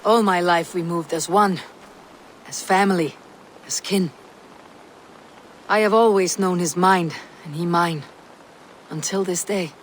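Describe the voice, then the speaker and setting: A woman's voice: fairly low pitch, steady tone, measured pace, long pauses, slight background noise, close to an everyday voice, low-quality recording. An actress playing a proud, stubborn Viking warrior woman in a video game cutscene, speaking firmly and bluntly. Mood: steady, earnest.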